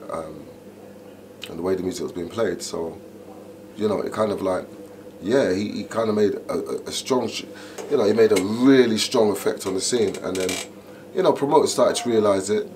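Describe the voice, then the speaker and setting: Very low male voice, middle-aged, chatty and animated, clear background noise. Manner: animated, repeats "you know" and "do you understand". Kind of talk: interview, casual storytelling.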